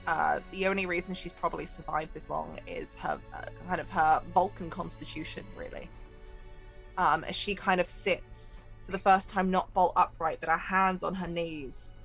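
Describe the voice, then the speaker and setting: A woman game master with a lowish voice and noticeable vocal fry. She improvises descriptive narration for a tabletop game in a conversational way, with music underneath. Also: fairly even intonation, normal speed, fairly bright tone, rough recording.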